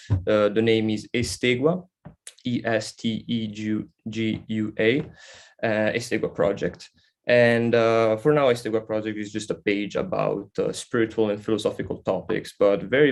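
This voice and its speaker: A man with an Italian accent gives an informal talk in a fairly low voice with a strong gravelly creak. His delivery is halting and reflective as he explains things as a guest speaker. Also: a little mellow. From Italy. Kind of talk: informal spoken presentation.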